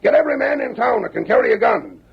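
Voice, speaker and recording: A stubborn Western sheriff in an old radio drama. His voice is high and very gravelly, swinging widely as he gives blunt, folksy orders. The recording is dull and lo-fi. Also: animated, fast, full character voice. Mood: commanding. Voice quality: gravelly, husky.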